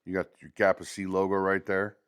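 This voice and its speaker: A man's voice: deep voice, monotone, a little fast, fairly bright tone, clear, resonant tone. A man talking casually and conversationally as a product reviewer, showing items step by step in an unboxing video.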